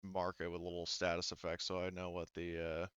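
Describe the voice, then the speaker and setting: A man running an online tabletop roleplaying game as game master, talking haltingly as he thinks aloud through the rules. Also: low voice, completely flat delivery, a bit bright, smooth, no room sound, lo-fi sound.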